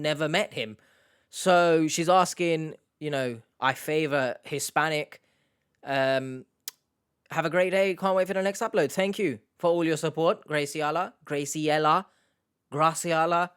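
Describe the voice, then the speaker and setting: Jokey young man, clear voice. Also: fairly high pitch, a bit bright. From Turkish Cypriot heritage, based in the UK. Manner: jokey, rambling, self-mocking. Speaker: YouTube host. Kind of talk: casual Q&A chat, reading out viewer questions.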